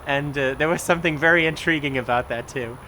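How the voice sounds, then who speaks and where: A man's voice: a little high, fast talker, sharp tone, slight background noise, rough recording. A young man telling his personal testimony in a casual way, with many fillers and restarts. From Germany.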